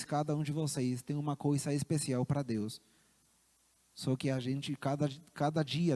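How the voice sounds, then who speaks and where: A man with a Chilean accent speaks earnestly to a congregation, halting and repeating words as he goes. His voice is mellow and dark, at a middle pitch. The sound carries a long hall reverb. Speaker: missionary. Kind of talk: church address.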